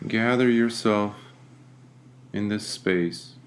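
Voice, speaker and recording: Slow-speaking man guiding a meditation. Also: on the low side, fairly even intonation. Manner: gentle, deliberate, with long pauses. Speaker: meditation teacher. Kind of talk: guided meditation.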